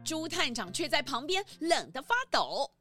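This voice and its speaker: A woman narrating a children's story in a lively, sing-song storytelling manner. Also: sits high, normal speed, faint music behind.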